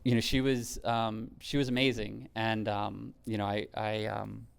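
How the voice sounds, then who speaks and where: An American man talking in an interview in a halting way, often repeating his words. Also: fairly low pitch, level tone, vocal fry, clear, resonant tone. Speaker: volunteer costume builder, interviewee. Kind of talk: interview talk.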